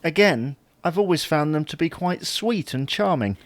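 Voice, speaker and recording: A man's voice: on the high side, animated, normal speed, no room sound, lightly acted. A man playing a mild, baffled, henpecked husband with a dry, grudging manner in a domestic audio sitcom. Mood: wry.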